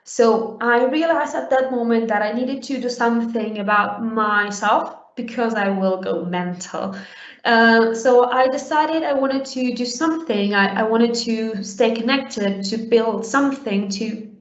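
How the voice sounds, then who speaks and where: A woman's voice: on the high side, a little slow. A young adult woman from Uruguay giving a conversational conference talk, with many fillers and restarts. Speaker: conference speaker. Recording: roomy, dull lo-fi sound.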